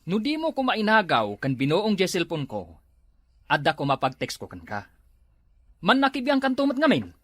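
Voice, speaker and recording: A man plays a grumbling, sleepy husband with a husky, bright-edged voice that swings up and down. He mumbles back at his nagging wife, close to the mic, with a dry sound. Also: sits high, huge pitch swings, normal speed, dry recording, exaggerated voice. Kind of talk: comedy web drama acting.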